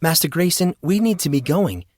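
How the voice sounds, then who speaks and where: A man's voice: on the high side, lively intonation, normal speed, a little mellow, no room sound, studio quality, voice pushed up. A man voicing a dutiful female attendant in an audiobook story, formal, anxious and insistent. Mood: urgent, formal.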